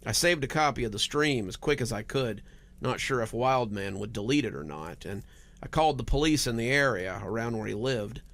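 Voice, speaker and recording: A man reads scripted horror fiction as a storytelling host. His delivery is dramatic and very varied in pitch, with noticeable fry in the voice. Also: animated, fairly quick, fairly bright tone. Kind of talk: scripted first-person horror narration.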